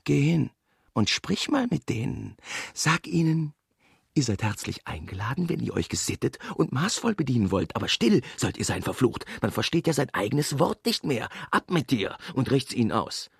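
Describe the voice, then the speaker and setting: A man playing a gruff, bossy whaling mate in a radio drama, husky and colloquial, needling and ordering about. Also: medium pitch, very animated, normal speed, dry recording, clean studio sound, full character voice. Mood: bossy, mocking. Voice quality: gravelly, husky. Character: gruff, bossy ship's mate, fussy about his food.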